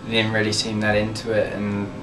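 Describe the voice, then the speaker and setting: A young man from Birmingham talks casually in an interview, steady and measured with many fillers, as band leader. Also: on the low side. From Birmingham, England. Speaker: band leader, guitarist and singer. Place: band label office. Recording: roomy office, distant mic, music behind.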